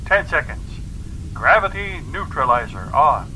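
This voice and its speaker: An actor playing an intense, official space traffic controller making drawn-out commanding calls in a radio play. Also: male voice, on the high side, big pitch swings. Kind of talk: radio play launch countdown announcing.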